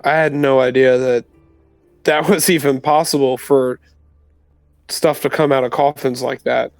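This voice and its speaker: A man's voice: medium pitch, fairly even intonation, unhurried, no echo, soft background music. A man playing in a tabletop roleplay game, chatting casually in character.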